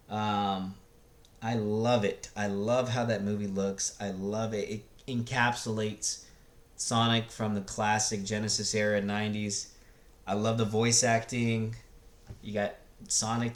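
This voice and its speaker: A man in his thirties hosting a casual, rambling review in a halting, searching way, with laid-back slangy enthusiasm. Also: on the low side. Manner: hesitant, halting, searching for words. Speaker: video host reviewing films. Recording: roomy, echoey sound.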